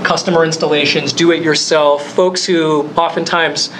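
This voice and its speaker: A man with a somewhat high voice speaks on a panel in a measured, businesslike way, often pausing on "um". His voice carries in a conference room. Background noise is clear. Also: steady tone, a bit bright, low-quality recording. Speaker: panelist, manufacturer. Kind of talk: panel discussion.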